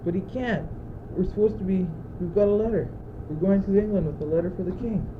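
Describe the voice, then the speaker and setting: Anxious, brooding courtier, played by a male student, clipped declamatory lines, higher-pitched, school radio drama, heavy noise. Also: fairly quick, lightly acted. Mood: agitated, bewildered. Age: teenager. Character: anxious, exasperated young courtier, brooding and fatalistic. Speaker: student actor. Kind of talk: school radio play acting. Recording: muffled phone-like old tape, loud noise.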